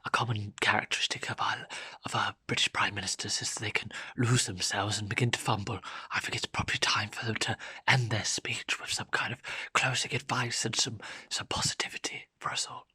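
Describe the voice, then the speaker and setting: A man doing a reverent nature documentary narrator, whispering in a bright, breathy hush. The sound is dry and close, with no room around it. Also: fairly low pitch, steady tone, a little fast, no echo, heavily acted. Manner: hushed whispering, reverent, mock-solemn. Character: hushed male wildlife documentary narrator.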